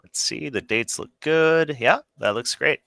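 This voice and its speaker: A software engineer from El Salvador, a man speaking in a relaxed, plain way on a call. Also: a little high, a little slow, creaky, no echo, clean studio sound. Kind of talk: casual chat on video call.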